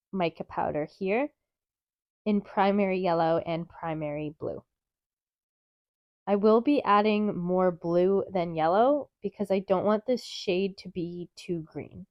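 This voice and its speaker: A woman with a stuffy, nasal voice from a cold, calmly explaining steps in a craft tutorial. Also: fairly low pitch, no room sound. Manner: calm, methodical, chatty explaining. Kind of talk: step-by-step craft tutorial narration.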